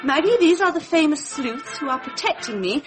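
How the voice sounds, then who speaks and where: A woman's voice: sits high, expressive, normal speed, music in the background. An actress playing a flighty, theatrical young society woman with an English accent in a radio drama. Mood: breezy, impatient.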